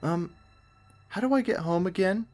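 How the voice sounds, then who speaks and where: A man voicing an excitable, pushy young woman in a horror story reading. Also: high voice, moderate pace, faint music behind, voice pushed up, natural voice. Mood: unsure, questioning. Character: eager young woman on a walkie-talkie, bold, oblivious.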